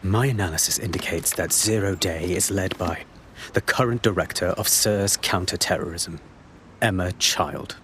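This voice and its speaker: Anxious game spy analyst, deep, grave and halting, earnest, faint engine noise behind. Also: male voice, fairly bright tone. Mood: confiding. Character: weary, fearful male intelligence analyst. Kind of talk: video game voice acting.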